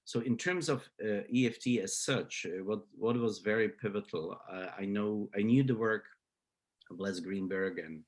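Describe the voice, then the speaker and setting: A man's voice: lowish voice, normal speed. A man in his fifties from Slovakia with a Slovak accent, answering interview questions hesitantly with many fillers and restarts. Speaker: psychology professor, guest.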